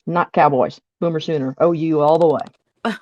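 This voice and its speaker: A woman's voice: low voice, steady tone, moderate pace, a little mellow. A woman from Delaware joining as a special guest over a video call, easygoing and joking, laughing often. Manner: easygoing, jokey, laughs a lot. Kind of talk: casual chat over a call.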